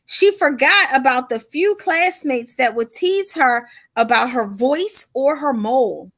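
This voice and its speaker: A high, bright woman's voice with a sharp, ringing tone. She is a children's author who reads aloud with animated, cheerful emphasis, then chats about her book just as upbeat and motivational. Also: unhurried, dry recording, clean studio sound.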